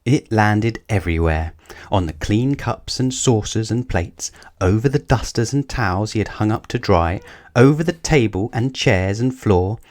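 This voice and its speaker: Male storybook narrator, fairly low register, scripted read-aloud for children. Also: animated, moderate pace, darkish tone.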